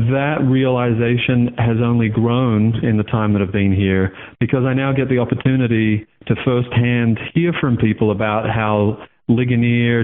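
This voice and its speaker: Guest, Australian man, 30s, chatty and rambling, phone-line sound. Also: mid-range voice. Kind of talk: casual interview over the phone.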